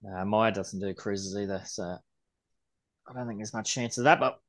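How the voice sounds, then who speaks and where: A man's voice: fairly low pitch, fairly even intonation, moderate pace. A man in his forties co-hosting a podcast, casually reading news articles aloud and riffing on them. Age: 40s. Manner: reads quotes aloud then riffs on them. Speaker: podcast co-host.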